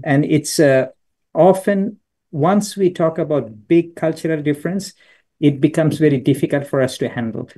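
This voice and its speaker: A man's voice: a little high, normal speed, mellow, breathy edge. A middle-aged man from Bangladesh, a business school professor, answering a question on a video call with thoughtful anecdotes and frequent restarts. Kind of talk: answering questions in an online lecture.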